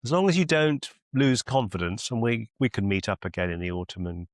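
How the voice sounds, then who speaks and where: A man's voice: on the low side, big pitch swings, fairly quick, dry recording, studio quality. A middle-aged man mentoring in a conversational, reassuring, explanatory way. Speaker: medical mentor.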